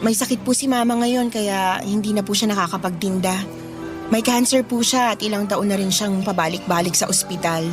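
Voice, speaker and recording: A woman's voice: mid-range voice, brisk, music in the background, natural voice. An actress playing a respectful, devoted young woman carrying burdens, in a Filipino radio drama with a Filipino accent. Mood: weary, sorrowful. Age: young adult.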